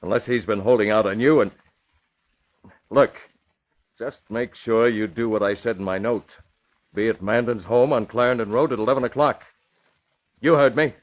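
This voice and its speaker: An actor playing a commanding, calculating masked crime-fighter, crisp and confident, in a 1940s-style radio drama. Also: male voice, medium pitch, moderate pace, pauses often, lightly acted. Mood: firm, menacing. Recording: dull lo-fi old radio recording.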